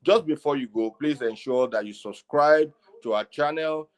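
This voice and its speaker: A man hosting an online discussion show, speaking in a halting way with repeated words. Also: a little high. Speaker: show host.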